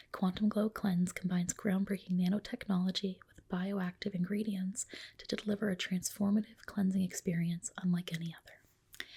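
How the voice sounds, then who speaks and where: A woman performs an ASMR roleplay as a skin specialist at a spa. Her voice is very breathy and mostly whispered, with a crackle of vocal fry. She explains each step gently and reassuringly. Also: medium pitch. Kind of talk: ASMR roleplay, personal attention.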